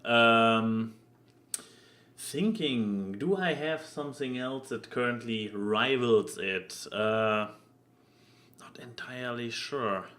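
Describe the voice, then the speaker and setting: A man from Germany with a German accent, chatting casually as a podcast co-host in a halting, rambling way. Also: mid-range voice, a bit bright. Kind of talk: casual chat.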